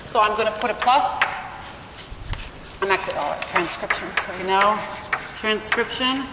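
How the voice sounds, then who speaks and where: A woman's voice: medium pitch, moderate pace. A woman lecturer explaining material to a class in an explanatory way, often checking in with a short questioning word, speaking into a microphone in a large lecture room. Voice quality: loud voice. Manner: explanatory, checks in with "okay?" and "right?". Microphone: microphone through room amplification. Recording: echoey lecture hall, amplified, faint noise.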